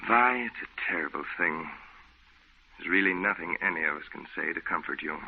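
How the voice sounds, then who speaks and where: Low-voiced, exasperated, practical friend in vintage radio drama, matter-of-fact, tinny hissy recording. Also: male voice, brisk, creaky, husky, heavily acted. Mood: somber. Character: skeptical, down-to-earth male friend, exasperated. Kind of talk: old-time radio drama acting.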